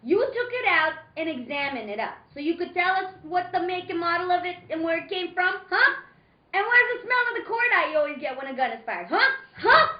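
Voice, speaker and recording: A woman playing a gruff, sarcastic policeman who badgers and mocks in an old-time radio detective play. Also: very high-pitched, sing-song, brisk. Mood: angry, badgering. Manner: interrogating, mocking, punctuating with "huh". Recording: roomy, dull, faintly noisy live recording.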